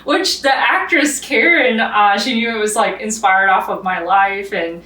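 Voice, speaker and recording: A woman's voice: medium pitch, a little slow, sharp tone, rough recording. Chatty young woman vlogger. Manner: chatty, many fillers like "you know" and "uh". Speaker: filmmaker, vlog host. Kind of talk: casual vlog talk with filmmaking tips.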